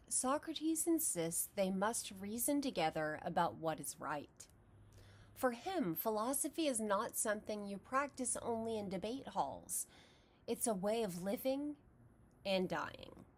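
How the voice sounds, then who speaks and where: Woman with a Texas accent explaining philosophy. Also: medium pitch. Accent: Texan. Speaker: philosophy lecturer. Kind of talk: scripted educational narration.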